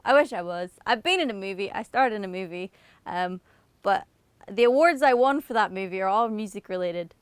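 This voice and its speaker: A young Irish woman talks straight to camera in a loose, chatty vlog style, poking fun at herself with little laughing asides. Her voice is smooth and clear, in a mid register. The sound is dry and close, with no room around it. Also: medium pitch, lively intonation, brisk, no room sound. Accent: Northern Irish. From Ireland. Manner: chatty, self-mocking, giggly asides. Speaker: singer answering autocomplete questions. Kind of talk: casual self-deprecating chat to camera.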